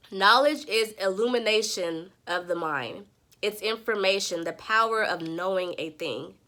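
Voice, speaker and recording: A congested, stuffy-nosed woman walks her viewers through scripture in a morning devotional vlog. She teaches in an earnest, preacherly way, urging listeners toward wisdom. Her voice sits at an ordinary pitch for a woman. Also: a bit bright. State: congested, nasal from a sinus infection. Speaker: Bible study vlogger. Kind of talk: devotional Bible summary vlog.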